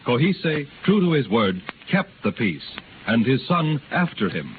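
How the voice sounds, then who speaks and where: Sweeping, animated 1930s radio narrator man. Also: medium pitch, measured pace. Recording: tinny, noisy 1930s radio recording.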